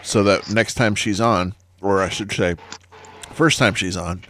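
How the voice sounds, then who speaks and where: A man's voice: lowish voice, fairly even intonation, measured pace, fairly bright tone, slight background noise. A man hosting a podcast, chatting casually in a laid-back, dry way. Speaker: podcast host. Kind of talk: casual chat.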